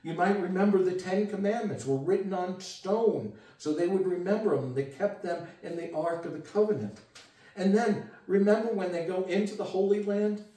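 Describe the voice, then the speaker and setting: An older man gives an earnest sermon, his voice warm and mellow with a slightly raised pitch. He is heard from across a living room through a distant camera mic, with strong echo around him. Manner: earnest, gesturing, rises for emphasis and drops quiet. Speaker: pastor. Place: home living room with guitars and a TV. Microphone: far camera. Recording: echoey room from far off.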